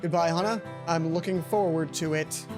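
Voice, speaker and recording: A woman voicing a polite male robot bird in a visual novel, speaking in a formal, measured manner. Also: lowish voice, steady tone, music in the background, close to an everyday voice.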